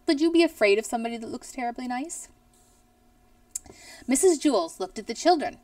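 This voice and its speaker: Animated, high-voiced woman reading a children's story. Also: fairly quick, no room sound, recorded from a distance. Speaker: teacher reading aloud to pupils. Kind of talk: expressive read-aloud of a children's story. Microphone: headset boom mic.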